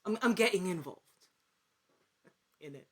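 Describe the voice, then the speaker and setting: A woman's voice: on the low side, animated, unhurried, slightly rough. A woman speaking as a guest in a casual interview, in a wordy, rambling way that often interrupts itself. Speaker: podcast guest, actor and producer.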